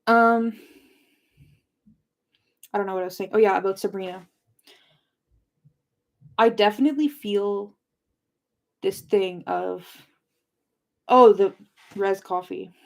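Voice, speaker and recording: A woman's voice: medium pitch, fairly even intonation, slow pace, vocal fry, long pauses. A young woman chatting casually with live viewers, informal and rambling as she drifts between points. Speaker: livestream host of a book discussion.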